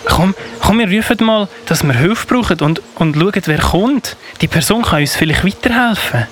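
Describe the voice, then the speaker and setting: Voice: mid-range voice, lively intonation, moderate pace, a little hiss or hum, close to an everyday voice. A performer playing a timid young boy, the hesitant little brother in an amateur radio play, stammering and repeating words. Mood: eager, unsure.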